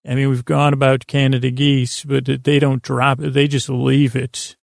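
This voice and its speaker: A man with a creaky, gravelly voice hosting a sleep podcast in a meandering, halting monologue that keeps interrupting itself. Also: mid-range voice, little pitch movement, dry recording, studio quality. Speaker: podcast host.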